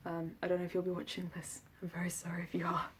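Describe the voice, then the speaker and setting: A young woman with a southern English accent talks in a slightly low-pitched voice. She rambles casually as a vlogger would, poking fun at herself in an informal, jokey manner. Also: monotone, fast, noisy background. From south of England. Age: 20s. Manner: self-deprecating, jokey. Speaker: vlogger recounting an audition. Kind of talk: casual rambling vlog chat.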